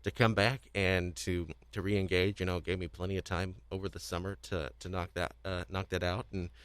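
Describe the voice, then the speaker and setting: A young man hosting a casual podcast discussion, speaking in a halting way and often repeating words as he goes. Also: deep voice, flat delivery, fairly bright tone, smooth, no room sound. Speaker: podcast host.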